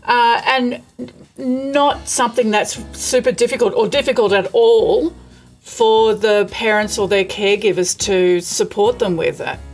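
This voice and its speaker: A woman's voice: medium pitch, unhurried, soft background music. A woman interviewer chatting casually with a guest in an encouraging, appreciative manner. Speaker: interviewer, host.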